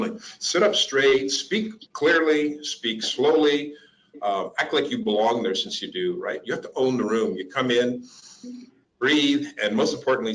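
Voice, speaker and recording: A man giving a career workshop to job seekers, lively and joking as he acts out little interview scenes. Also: on the low side, low-quality recording.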